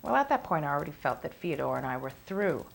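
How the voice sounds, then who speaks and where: A woman's voice: sits low, sing-song, fast talker, creaky, slight background noise, exaggerated voice. An actress playing an aggrieved, curious ex-girlfriend giving naturalistic testimony about her lover in a film drama. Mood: wry, curious.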